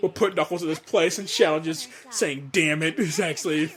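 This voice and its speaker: A man giving casual, excited director's commentary, stammering and repeating words as he goes. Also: on the high side, a little fast. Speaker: comic dub director giving audio commentary.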